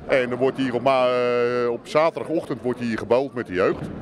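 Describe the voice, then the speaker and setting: A middle-aged man explains things calmly and plainly in a mid-range voice. Steady noise sits behind him, since he is recorded inside a bowling centre. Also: mid-range voice, normal speed. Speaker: bowling club member interviewed. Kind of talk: casual interview answers. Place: bowling centre bar, indoors. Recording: noisy background.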